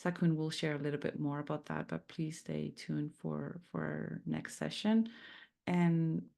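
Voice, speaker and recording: A woman's voice: low voice, fairly even intonation, measured pace, creaky, warm tone. A woman hosting an online briefing as club president-elect, speaking hesitantly with many fillers and restarts over a video call. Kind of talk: introducing a panel briefing.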